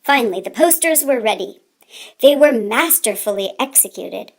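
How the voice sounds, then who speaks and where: A woman reading a children's story aloud in an expressive, deliberate way, with theatrical emphasis. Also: fairly high pitch.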